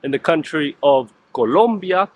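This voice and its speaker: A man hosting a travel food vlog, a little hoarse and raspy from feeling unwell, talking enthusiastically and repeating words for emphasis. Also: fairly high pitch, expressive, moderate pace, slight background noise. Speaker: food vlogger host. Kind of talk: casual travel vlog narration. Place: outdoors in a small-town plaza, then a tiny eatery.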